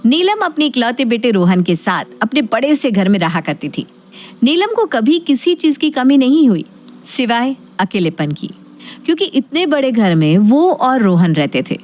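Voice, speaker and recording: Casting: female storyteller, high voice, scripted narration of family tales. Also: sing-song, normal speed, darkish tone.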